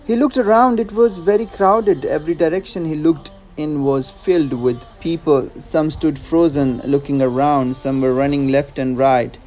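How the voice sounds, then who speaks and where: A man's voice: a little high, steady tone, moderate pace, hardly any pauses, a little mellow. A man narrating a scripted moral story in an earnest, emphatic and dramatic way.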